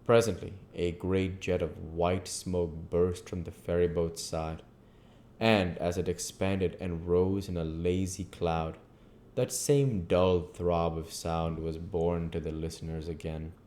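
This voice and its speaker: A man's voice: sits low, little pitch movement, slow pace, a little mellow. A man reading classic fiction aloud as a narrator. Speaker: audiobook reader. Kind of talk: scripted literary narration.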